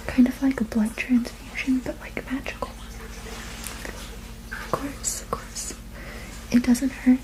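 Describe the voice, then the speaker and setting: A woman whispering gently and reassuringly in an ASMR roleplay as a soothing witch healer, with a breathy, unvoiced voice. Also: high voice, flat delivery, slow, pauses often, low-quality recording. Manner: gentle, soothing, reassuring, repeating soft words. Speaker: ASMR performer.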